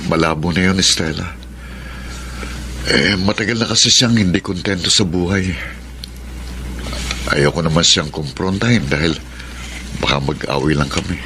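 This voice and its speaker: An actor playing a forlorn, abandoned husband in a Filipino radio soap, plainspoken and resigned, with a Filipino accent. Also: male voice, low voice, normal speed, pauses often, background noise. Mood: sad, resigned. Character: forlorn abandoned husband, father of two, taxi driver.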